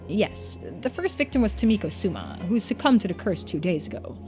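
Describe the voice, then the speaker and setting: A woman voicing a composed, formal investigator in a mystery game, speaking in a measured, precise way. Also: medium pitch, very animated, over music, lightly acted. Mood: serious, measured.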